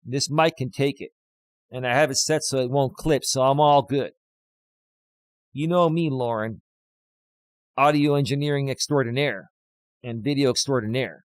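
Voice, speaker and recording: A man of fifty, a life coach, ranting loudly and emphatically on a live stream while reading out chat, close on a studio dynamic mic. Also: medium pitch, steady tone, normal speed, long pauses, dry recording. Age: 50s.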